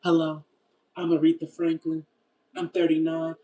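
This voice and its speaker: A man mimicking a churchy woman who introduces herself to a congregation, talking slowly in a mock-earnest way. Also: fairly high pitch, monotone, mellow, distant mic, pitched above the performer's own voice, close to an everyday voice, low-quality recording.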